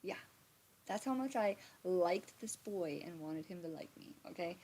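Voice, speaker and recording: Woman in her thirties, rambling storyteller with a slightly low voice, lots of fillers and restarts. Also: animated, unhurried, mellow, a little hiss or hum. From Montana, USA. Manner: swears casually. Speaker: live storytime streamer. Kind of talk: casual storytelling livestream. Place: home, indoors. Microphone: phone or webcam on a live stream.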